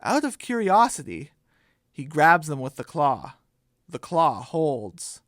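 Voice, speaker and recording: A man tells a scripted comic story as narrator. His voice is on the high side and his delivery is slow, with a dry sound free of room echo. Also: very animated, a bit bright.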